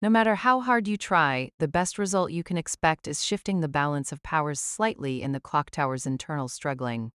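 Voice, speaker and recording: A woman's voice: low-pitched, fairly quick, hardly any pauses, smooth, dry recording, clean studio sound, pitched below the performer's own voice, natural voice. A woman voicing a shrewd old noblewoman mage in an audiobook, with a breezy, teasing and nonchalantly menacing manner. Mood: cool menace. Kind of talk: audiobook character acting.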